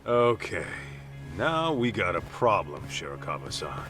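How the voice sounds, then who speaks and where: An actor playing a tough, hot-tempered middle-aged investigator in a dramatic video game cutscene, speaking in a blunt, confrontational way. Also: male voice, low voice, very animated, unhurried, over music. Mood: accusing.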